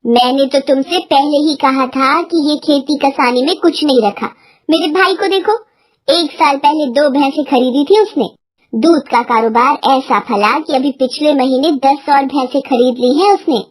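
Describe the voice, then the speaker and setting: A woman's voice: high-pitched, moderate pace, no echo, natural voice. A woman voicing a sensible, nagging village farmer's wife in a cartoon moral story. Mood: nagging, urging.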